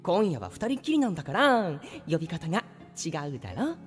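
A woman's voice: fairly low pitch, wildly swooping pitch, fairly quick, long reverb tail, faint music behind. A woman voicing a teasing, easily flustered teenage boy who plays doting young husband in an anime romantic comedy drama. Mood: fond, teasing. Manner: teasing, exasperated.

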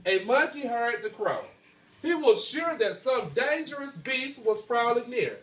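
A man's voice: extremely high pitch, sing-song, a little slow, a bit bright. Male teacher, classroom read-aloud, checks in with pupils often, roomy echo, recorded from a distance with background noise. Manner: checks understanding often, tagging phrases with okay. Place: school classroom, indoors. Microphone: phone held by someone across the room. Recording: echoey noisy classroom from a distance, phone recording.

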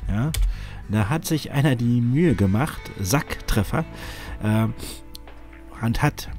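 A man's voice: mid-range voice, lively intonation, slow, darkish tone, soft background music. A man with a cold and a sniffle, rambling with many fillers while commenting on a game he is playing. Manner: rambling, hesitant, lots of fillers. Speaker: gaming YouTuber. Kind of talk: casual let's-play commentary.

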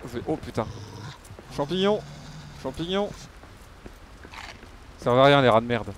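A man's voice: mid-range voice, fairly even intonation, racing, a little hiss or hum. A man giving casual live game commentary, muttering to himself and swearing freely. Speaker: game streamer.

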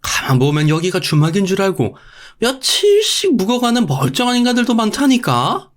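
A grumbling rich husband from a folktale, acted out by a male storyteller. He whines and complains in an irritable, fed-up way, with his voice pushed high and swinging widely. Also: huge pitch swings, normal speed. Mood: exasperated grumbling. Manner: whiny, complaining.

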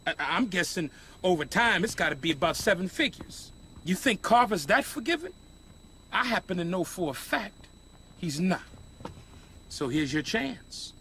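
A man's voice: a little high, fairly bright tone, a little hiss or hum, heavily acted. An actor playing a menacing male gangland enforcer in a video game, coldly manipulative, taunting and theatrical. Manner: taunting, theatrical, rhetorical questions. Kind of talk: video game cutscene acting.

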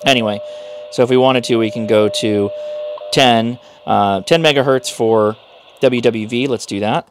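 A man's voice: mid-range voice, measured pace, a little hiss or hum. A man in his thirties giving a casual hands-on review as he demonstrates a device. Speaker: host, product reviewer. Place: small indoor workshop room.

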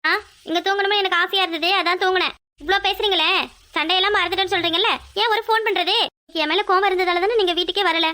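A woman's voice: very high-pitched, fairly even intonation, quick pace, pushed and bright. A woman playing an emotional, stubborn young wife in a domestic drama, pleading and pouting in a plaintive, insistent way. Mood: hurt, accusing. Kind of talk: domestic serial drama acting.

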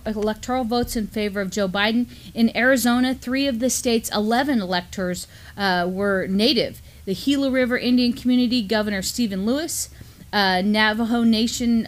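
A middle-aged woman co-hosting a news discussion in a conversational style, with halting, filler-heavy delivery. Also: a little high. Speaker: co-host and university lecturer. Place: small studio, seated at a table.

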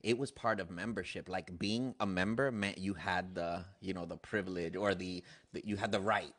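A man in his thirties, co-hosting a casual chat and telling lively stories with frequent laughs, on a boom mic in a small studio. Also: moderate pace. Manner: animated storyteller, laughs often. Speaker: podcast co-host, church musician. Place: small indoor studio set. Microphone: boom-mounted studio mic.

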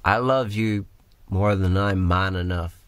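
A man from Northern Ireland performing spoken word poetry in a measured, rhythmic recitation. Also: low-pitched, clean tone, no echo. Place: small room or pub.

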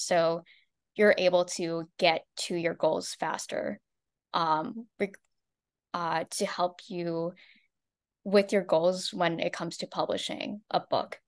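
Earnest woman on a video-call webinar, lowish voice. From United States. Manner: earnest, with some restarts. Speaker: social media marketer, co-presenter. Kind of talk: casual webinar presentation.

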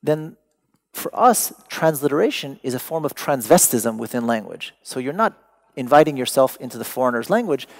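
A man whose mother tongue is Persian gives a conversational public lecture in English from a stage, searching for words and stressing them deliberately. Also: medium pitch, expressive, vocal fry. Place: large dim hall with stage and seated audience.

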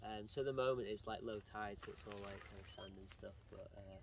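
A man with a relaxed, rambling manner, chatting casually outdoors by an estuary for a vlog. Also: lowish voice, a little fast, creaky. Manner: relaxed, rambling, many fillers. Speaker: vlogger showing a campsite view. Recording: outdoor noise behind.

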